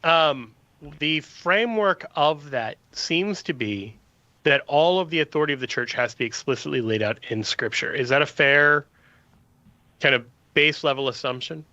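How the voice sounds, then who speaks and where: A man speaks with a bright, forceful tone into a dry, slightly dull studio mic. He explains patiently and measures each point. He checks for agreement before moving on. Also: on the high side, no room sound. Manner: measured, explanatory, quick affirmations. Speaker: guest apologist. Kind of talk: explaining theology on radio.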